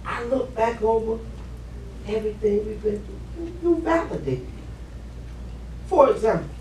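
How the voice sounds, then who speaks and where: A man playing an earnest, needy husband in a stage play, opening up haltingly to his wife. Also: high voice, very animated, darkish tone. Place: living-room stage set indoors. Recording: roomy, distant camera mic, background noise.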